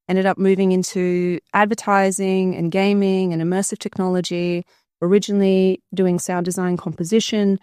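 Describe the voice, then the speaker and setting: Female podcast guest, crisp resonant voice, articulate conversational storytelling, close dry sound. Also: medium pitch, a little mellow, no room sound. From Australia, born in Bulgaria.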